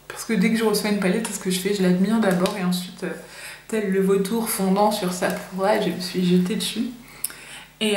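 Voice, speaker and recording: A woman's voice: mid-range voice, darkish tone. A young woman from France chatting casually to camera in a nervous, chatty makeup review full of fillers. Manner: chatty, nervous first-timer, many fillers. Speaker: beauty vlogger. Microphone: camera mic. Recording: echoey room, faint hiss.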